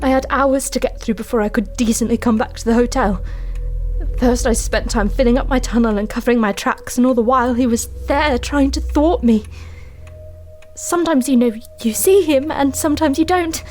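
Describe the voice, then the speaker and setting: An actress playing a desperate, haunted woman confessing a supernatural dread in an audio drama, distraught and halting. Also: on the high side, darkish tone, faint music behind, lightly acted. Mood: haunted, uneasy.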